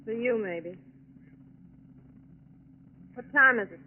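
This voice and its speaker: An actress playing a casual, wry American nightclub singer in a 1940s radio drama. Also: female voice, a little high, takes it slowly. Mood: weary, wry. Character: breezy, world-weary nightclub singer, a woman. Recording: thin phone-like 1940s radio recording, music behind.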